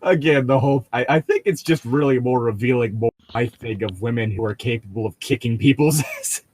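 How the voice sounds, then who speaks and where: A man's voice: mid-range voice, a little fast, a little mellow, a little hiss or hum. A man in casual, joking conversation among friends.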